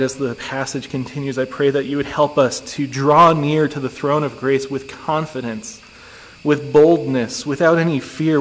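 A man leading an earnest, steady congregational prayer during a church service. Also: medium pitch. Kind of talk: pastoral prayer. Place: church sanctuary. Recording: long church reverb, faint noise.

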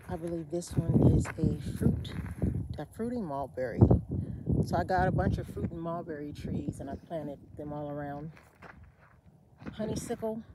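A woman giving a relaxed, unhurried walk-through of her desert garden, with fillers and self-corrections along the way. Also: low-pitched, very noisy. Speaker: homesteader giving a garden tour. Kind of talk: casual walk-through narration.

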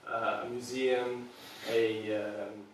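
A man's voice: medium pitch, level tone, very slow, very echoey, a little hiss or hum. A man in his thirties from Serbia giving an earnest talk to a small audience, hesitating often with ums, recorded by a far camera in a meeting room. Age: 30s. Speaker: product designer giving a talk. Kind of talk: public presentation.

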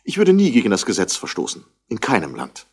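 A man's voice: fairly low pitch, wildly swooping pitch, a little fast, darkish tone, breathy edge, full character voice. An actor playing a haughty, irritable wealthy man in a 1960s radio play, speaking in a curt, formal way.

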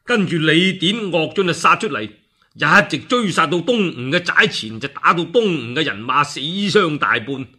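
A man's voice: on the high side, moderate pace, husky. A man with a Cantonese accent telling a historical tale as a solo radio storyteller, in a colloquial, dramatic manner. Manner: animated, colloquial, acts out dialogue.

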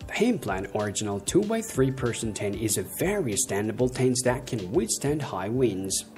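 Young male reviewer reading a script over soft music, lively intonation, odd stress. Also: mid-range voice, normal speed. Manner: reads a script with uneven stress and slips. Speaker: product review narrator.

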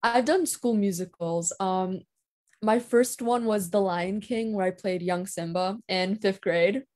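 A teenage girl answering interview questions over a video call, soft-spoken and hesitant, with frequent ums. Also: mid-range voice, a little slow. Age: teens. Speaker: guest. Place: indoors at home.

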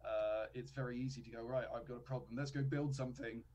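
A man with an English accent from Cambridge, a podcast guest chatting on a video call with a headset, relaxed and self-deprecating. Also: medium pitch, fast, crowd noise. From England. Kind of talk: casual chat on a video call.

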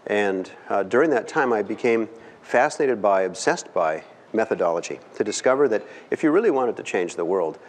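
Low-voiced man lecturing in a hall. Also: expressive. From California. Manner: earnest, emphatic, with pauses and fillers. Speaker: guest speaker. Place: large dim lecture hall. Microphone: far camera. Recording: long hall reverb.

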